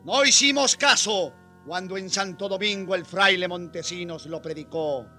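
An earnest priest of the colonial era in a radio drama. His voice is high and very bright, with a solemn, preaching way of speaking. Music sits behind the voice. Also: normal speed. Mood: solemn, impassioned. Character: earnest colonial priest and landowner turned impassioned defender of natives.

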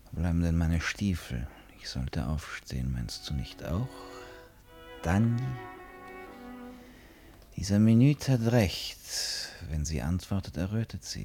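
An actor playing a restless, brooding stranger who muses and questions himself in a theatrical 1950s radio play. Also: male voice, low voice, warm tone. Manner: musing, erratic, self-questioning, theatrical. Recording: 1950s radio drama recording.

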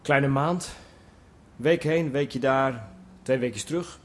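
An actor playing a calm, businesslike smuggler. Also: male voice, mid-range voice, takes it slowly, slight background noise, close to an everyday voice. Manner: calm, matter-of-fact. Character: calm male smuggler truck driver, businesslike.

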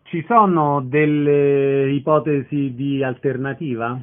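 A man's voice: medium pitch, fairly even intonation, slow. A man putting short, direct questions as a radio interviewer. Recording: noisy, tinny phone-like radio sound.

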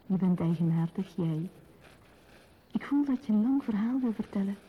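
A woman's voice: mid-range voice, animated, moderate pace, phone-quality sound, slight background noise, close to an everyday voice. An actress playing a perceptive, tender woman in love, speaking intimately and thoughtfully in a lyrical radio play style. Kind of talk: poetic radio play dialogue.

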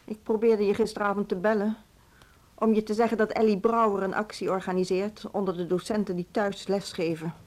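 A woman's voice: mid-range voice, little pitch movement, fairly quick, a little mellow, lightly acted. A woman acting in a naturalistic radio drama as a worried, insistent music school administrator, pressing and emphatic. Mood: urgent, indignant.

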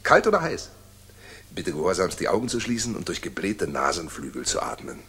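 An actor in a 1960s German radio drama playing a cheeky, loyal military orderly, husky, breezy and mock-deferential. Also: male voice, low-pitched, wildly swooping pitch, a little fast, a bit bright, heavily acted. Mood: teasing.

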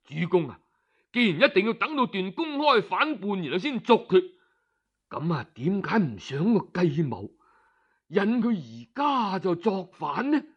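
A man's voice: high-pitched, sing-song, measured pace, a bit bright, slightly rough, highs cut off, heavily acted. Man as a shrewd, earnest Cantonese court minister. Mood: earnest urging. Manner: earnest, persuasive, respectful. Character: deferential, shrewd male minister advising his lord.

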